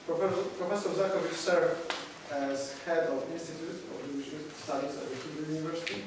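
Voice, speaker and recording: A man in his thirties, hesitant and halting, giving a formal introduction of a guest lecturer from notes. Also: fairly high pitch, steady tone, far from the mic. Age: 30s. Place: small lecture room with seated audience, indoors. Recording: echoey room from afar, noisy background.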